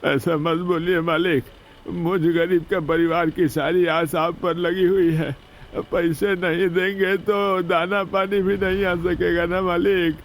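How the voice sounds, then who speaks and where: A man's voice: high-pitched, flat delivery, slow pace. Man playing a humble, weary old labourer. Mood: pleading. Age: older. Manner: pleading, deferential. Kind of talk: Hindi moral-story cartoon dubbing.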